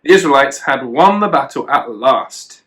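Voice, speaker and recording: A man's voice: mid-range voice, lively intonation, moderate pace, fairly bright tone. A man reading a children's storybook aloud, with chatty asides to a child. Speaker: children and youth worker, storyteller.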